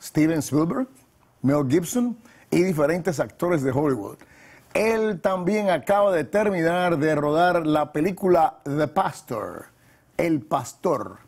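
A man in his sixties hosting a conversational television interview in a studio, with a husky, gravelly voice. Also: on the high side, a little mellow. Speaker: talk show host, pastor. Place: TV studio set.

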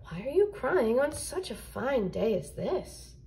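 A woman voicing a kindly, courteous bumblebee telling a gentle tale in a children's storybook. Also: high voice, very animated, a little slow, creaky, heavily acted.

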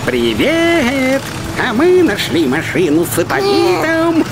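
A man's voice: very high voice, huge pitch swings, slow pace, exaggerated voice. A man voicing an eager, naive, cheerful talking dinosaur vehicle in a children's cartoon. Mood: proud, cheerful. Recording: loud music and vehicle sounds behind.

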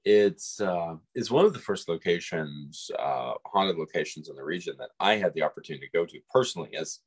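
A middle-aged man with a husky voice, chatting casually as co-host on a video call. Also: low-pitched, lively intonation, fairly quick. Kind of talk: casual conversation. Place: room at home, on a video call. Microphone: device mic on a video call.

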